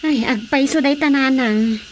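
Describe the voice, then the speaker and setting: An actress plays a younger married Filipino woman in a radio drama comedy, full of sympathy and exclamations. Her voice is high and chatty. The recording carries faint background noise. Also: a little slow, rough recording. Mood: concerned.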